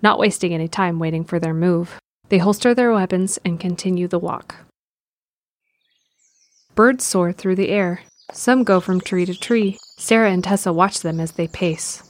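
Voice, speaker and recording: A woman's voice: fairly low pitch, fairly even intonation, moderate pace, a little hiss or hum. A woman narrating a scripted audio drama in the present tense, describing the action.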